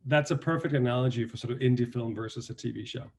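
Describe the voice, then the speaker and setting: A man from Denmark with a Danish accent, a guest actor telling stories in a relaxed interview, often restarting and filling his sentences. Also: medium pitch, fast, a little hiss or hum. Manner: relaxed storytelling with many restarts and fillers. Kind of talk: casual interview talk.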